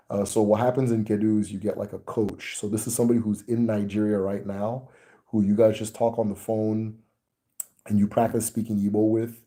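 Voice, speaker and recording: Man, American accent, very dark mellow voice, lowish pitch, relaxed rambling host. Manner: relaxed, rambling with fillers and self-corrections. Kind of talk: casual video chat to viewers.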